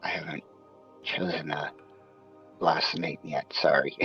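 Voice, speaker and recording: A man playing a roleplay character, hesitant and filling pauses with many uh sounds. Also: rumbling low, fairly even intonation, pushed and bright, slightly rough, dull audio, soft background music. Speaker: roleplay player.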